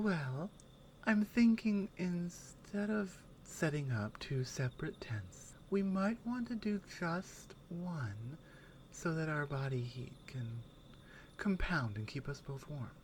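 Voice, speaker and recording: A woman acts out a strong Nordic warrior woman in an ASMR roleplay. She speaks very slowly and softly, slipping into whispers, with a protective, tender, teasing temper. Noticeable ambience sits behind her voice. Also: sits low, a little hiss or hum. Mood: calm, practical. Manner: soft-spoken, partly whispered, unhurried.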